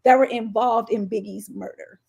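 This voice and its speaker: Female podcast co-host, slightly high voice, informal and slangy, animated storyteller. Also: moderate pace, creaky, slightly rough. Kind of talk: casual podcast chat.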